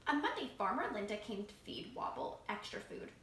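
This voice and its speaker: A woman reads a picture book to young children. Her voice is high and full of lively swings, expressive like a storytime reader. The room is echoey with faint noise behind her. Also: brisk. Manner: expressive, asking young listeners questions. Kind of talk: animated picture book read-aloud to children. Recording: roomy echo, faint background noise.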